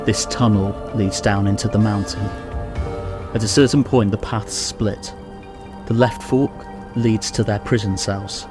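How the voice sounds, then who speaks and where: A man's voice: lowish voice, steady tone, a little slow, close to an everyday voice. A man playing a seasoned, commanding knight with a wry, authoritative manner in a radio play. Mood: grim, commanding. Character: seasoned, dry, commanding male knight and mentor. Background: music.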